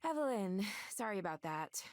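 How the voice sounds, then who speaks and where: A woman's voice: mid-range voice, big pitch swings, moderate pace, no room sound, clean studio sound. An actress playing a young woman in a video game, with an emphatic, conversational delivery. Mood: apologetic, disappointed. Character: exasperated but friendly young woman, housemate and coworker. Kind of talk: naturalistic video game voice acting.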